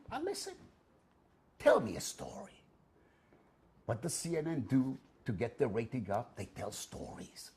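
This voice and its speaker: A middle-aged man with an Israeli accent, a guest speaker telling anecdotes to an audience in a lively, swinging way. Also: breathy edge. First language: Hebrew. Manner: lively storytelling, big swings in pitch and loudness, laughs at own jokes. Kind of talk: animated public talk with anecdotes. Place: event hall in front of an audience.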